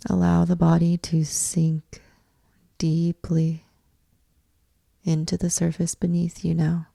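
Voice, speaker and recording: Slow, mellow woman guiding deep relaxation. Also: monotone, gravelly, dry recording. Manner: measured, deliberate phrasing with long pauses. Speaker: yoga nidra teacher guiding a meditation. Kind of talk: guided meditation, slow instructional narration.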